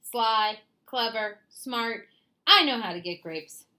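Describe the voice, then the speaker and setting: A woman's voice: fairly high pitch, lively intonation, takes it slowly, pushed and bright, full character voice. A woman voicing a sly, smug male fox in a children's storybook, boastful, wheedling and condescending. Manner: boastful, theatrical, wheedling and dismissive.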